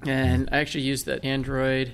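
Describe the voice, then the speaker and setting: A man's voice: medium pitch, completely flat delivery, normal speed, clear, resonant tone. A man with a Northern Michigan accent, telling his story in a conversational interview with self-deprecating jokes. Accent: Northern Michigan American. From Michigan, United States. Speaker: guest, blind military veteran.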